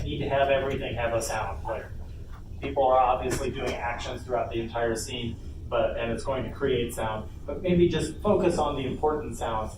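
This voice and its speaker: A man giving an informal instructional panel talk, speaking casually and haltingly with playful asides. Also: mid-range voice. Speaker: workshop presenter on a convention panel. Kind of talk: informal instructional presentation to an audience. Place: convention panel room. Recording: noisy, echoey room, distant mic.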